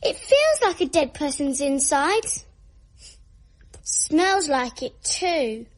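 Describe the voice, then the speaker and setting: A woman's voice: high voice, very animated, takes it slowly, heavily acted. A female performer playing a morbidly curious little girl who likes being frightened, in a radio drama. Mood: gleefully disgusted.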